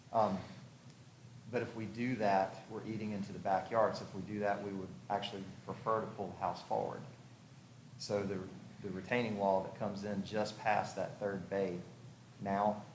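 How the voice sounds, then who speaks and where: Low-voiced man explaining in an echoey room. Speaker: house designer presenting to a review commission. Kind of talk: informal explanatory presentation at a public hearing. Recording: echoey room, clearly audible background noise.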